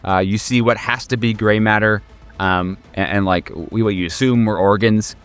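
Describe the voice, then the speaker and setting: A man's voice: lowish voice, fairly even intonation, normal speed, a bit bright, clear, resonant tone, faint music behind. A man game mastering a horror tabletop game in casual, improvised narration, joking and swearing freely. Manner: profane, joking.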